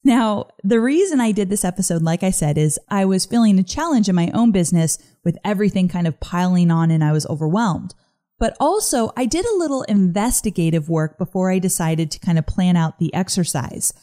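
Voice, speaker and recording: A woman hosting a casual solo podcast, chatty and upbeat, her voice a little gruff this morning. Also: on the low side, lively intonation, fairly quick, dark tone. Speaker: podcast host.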